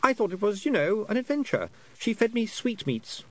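Guy doing a jittery boy voice, high and bouncy, rambling on. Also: sing-song, normal speed, low-quality recording. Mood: anxious. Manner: rambling, hesitant, breathless. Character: nervous boy of twelve, anxious and chatty.

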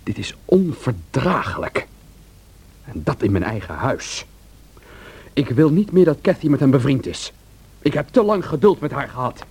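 An indignant gentleman of the house in a radio play. His mid-pitched voice is very husky and rises and falls in formal, demanding tones. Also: sing-song, unhurried, pauses often, full character voice. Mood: outraged. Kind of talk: radio drama acting.

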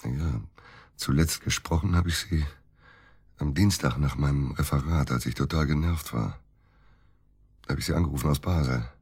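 An actor playing a disgruntled middle-aged provincial surgeon, grumbling and curt, in a naturalistic radio drama. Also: male voice, extremely low pitch, normal speed, pauses often, darkish tone, breathy edge, dry recording, studio quality, heavily acted. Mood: worried. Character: disgruntled middle-aged provincial surgeon, worried about his missing wife.

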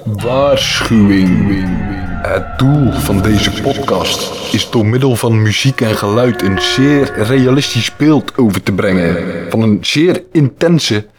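A man narrating a podcast from a script in a solemn, deliberate, atmospheric style. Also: on the low side. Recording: echoey voice over music. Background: music.

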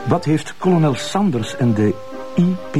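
A man's voice: fairly high pitch, animated, moderate pace, over music, close to an everyday voice. A man with a Flemish accent playing an earnest, inquisitive junior police officer, chatting informally in a television crime drama. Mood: puzzled, earnest. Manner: questioning, informal. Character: earnest, inquisitive male junior police colleague, easily teased.

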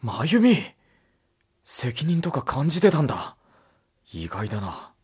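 Expressive, earnest teenage boy hero. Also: medium pitch, slow pace, husky, heavily acted. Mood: sincere, questioning. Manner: casual, sincere boyish delivery. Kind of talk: Japanese visual novel audio drama acting, with narration.

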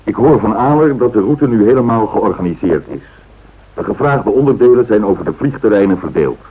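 A man's voice: lowish voice, lively intonation, brisk, breathy edge. An actor playing an authoritative male airline director in a radio drama, firm and businesslike. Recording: thin, phone-like old radio recording.

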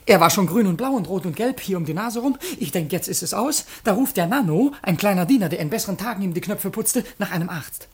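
A mischievous, scheming manservant in a classic radio comedy. His voice is husky and rough-edged. He spins his tales theatrically, flattering and insinuating as he goes. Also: high-pitched, quick pace, few pauses, exaggerated voice. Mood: animated storytelling. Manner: insinuating, animated storyteller. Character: sly, flattering male servant, scheming and mischievous trickster.

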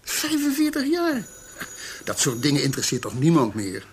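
Casting: sceptical male colleague, highish voice, very animated, radio play, noisy recording. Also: moderate pace. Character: sceptical, chatty male colleague arguing with his friend. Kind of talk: radio drama acting, conversational.

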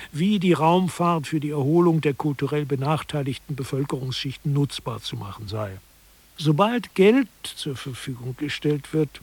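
A man's voice: a little high, darkish tone, slightly rough. A man playing an articulate lecturer who explains mock-scholarly theory in a scripted satirical radio play. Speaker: narrator.

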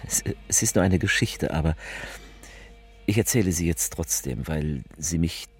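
Deep-voiced, animated political orator. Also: male voice, a little slow, soft background music. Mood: reminiscing. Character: male politician orating, evasive, self-justifying, folksy storyteller. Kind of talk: theatrical political speech collage.

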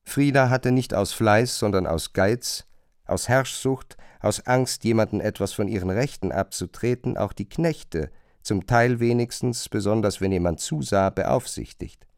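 A man's voice: fairly low pitch, darkish tone, clean tone, no echo, studio quality. A man narrating a radio drama in a scripted, literary style.

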